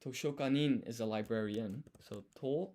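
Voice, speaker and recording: A man's voice: medium pitch, moderate pace, warm tone. A man livestreaming casually as he studies, thinking aloud and sounding out words syllable by syllable. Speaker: live streamer studying Japanese. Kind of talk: casual live study chat.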